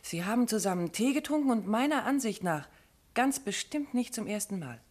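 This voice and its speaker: An actress playing a detective's chatty, observant and affectionate wife in a 1950s radio play, confiding and animated in her storytelling. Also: a little high, normal speed. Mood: curious.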